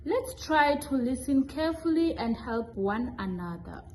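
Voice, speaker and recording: A young woman narrates a children's puppet show from a script. Her voice is high and expressive, and she takes her time over the words, with slight noise behind her. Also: a little slow. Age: young adult.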